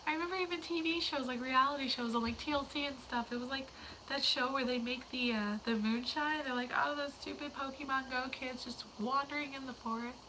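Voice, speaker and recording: A young woman hosting a casual livestream, hoarse and losing her voice to a cold, chatting in a rambling way that slips into whispers. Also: high-pitched, steady tone, fairly quick, background noise. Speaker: livestream host.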